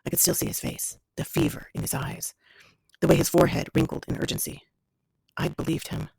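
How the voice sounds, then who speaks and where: A woman reading first-person fiction aloud as a scarred young female survivor telling her grim past in a measured, somber way. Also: deep voice, wildly swooping pitch, vocal fry, darkish tone, breathy edge, no echo, full character voice, low-quality recording.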